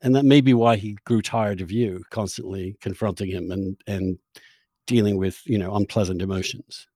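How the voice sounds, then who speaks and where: A man with a fairly low voice poses questions as an interviewer. The sound is dry and close, and he takes a winding, discursive route through each question, rewording midway. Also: steady tone, moderate pace, dark tone, no room sound. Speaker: interviewer, podcast host. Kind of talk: conversational political interview.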